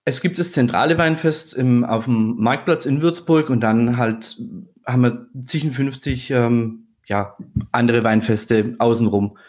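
A man from Swabia, a podcast guest, talking in a relaxed, joking way and explaining his work fluently. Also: mid-range voice, a little slow. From Swabia, Germany. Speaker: podcast guest, regional business manager. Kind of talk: casual podcast interview.

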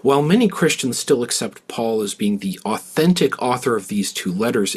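A male podcast host with a fairly low voice and a distinctly husky grain. He speaks in an articulate, scholarly manner, setting out explanations at a measured, deliberate tempo. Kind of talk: podcast discussion and scripted explanatory narration.

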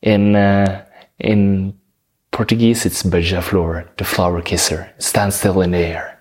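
A man from Norway with a Norwegian accent, talking slowly about spirituality and surrender, pausing and correcting himself as he searches for words. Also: low voice, level tone, a little mellow. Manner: slow, searching, with many pauses and self-corrections. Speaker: spiritual speaker. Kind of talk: reflective spiritual talk.

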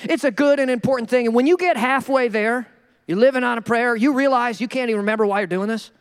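A male pastor preaching a sermon in an animated, joking way, acting out the people he quotes. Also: extremely high pitch, fast, smooth, in a large hall. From Minnesota.

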